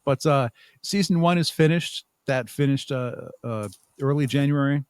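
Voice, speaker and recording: A man's voice: medium pitch, moderate pace, clean tone, no echo. Man thinking aloud as a podcast guest, dry sound. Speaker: podcast guest, audio drama writer and producer. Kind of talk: conversational interview answers.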